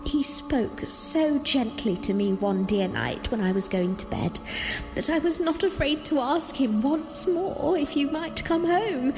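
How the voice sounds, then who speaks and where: A woman voicing an affectionate, eager little girl in an audio drama, tender and earnest. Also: high-pitched. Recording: long reverb, music behind.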